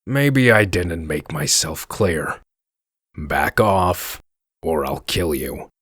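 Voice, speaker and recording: A man playing a gruff, commanding werewolf detective in a comic dub, curt and threatening, with a gravelly voice. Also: rumbling low, expressive, slow pace, fairly bright tone, dry recording, studio quality, exaggerated voice. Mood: stern, menacing.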